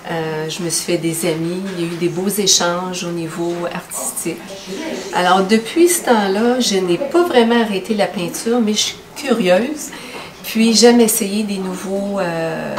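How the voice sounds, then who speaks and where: A woman with a Quebec French accent who describes how she came to painting, with many fillers. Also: on the low side. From Outaouais, Quebec. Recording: echoey room from a distance, noise behind.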